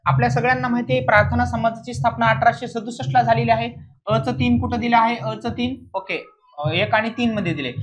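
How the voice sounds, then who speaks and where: A high-pitched man lectures as an exam coaching teacher, stressing and repeating key points and checking understanding with questions. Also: fairly bright tone. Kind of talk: classroom lecture explaining answers.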